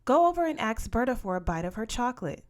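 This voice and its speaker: A woman's voice: on the high side, very animated, quick pace, darkish tone, voice pushed up. Woman voicing a firm, no-nonsense mother. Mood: insistent. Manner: commanding, coaxing. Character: firm, no-nonsense Mexican American mother, teasing.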